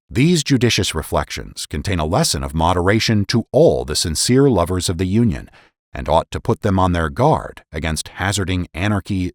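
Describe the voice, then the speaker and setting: A man narrating a historical political essay as a dramatic reading, in a formal, measured, oratorical manner. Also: low voice, no echo, studio quality. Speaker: podcast host and narrator.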